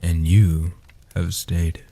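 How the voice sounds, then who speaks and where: A man voicing a gentle old guardian vampire in a soft-spoken comfort roleplay, slow and soothing, with a husky, half-whispered delivery. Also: low voice, flat delivery, heavily acted. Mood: tender, reassuring.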